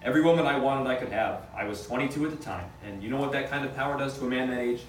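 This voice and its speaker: A man playing a wry, rattled young rich businessman in a table read, with a halting, matter-of-fact delivery. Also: medium pitch, natural voice. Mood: reflective. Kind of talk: amateur script table read. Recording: echoey room, distant mic, faint noise.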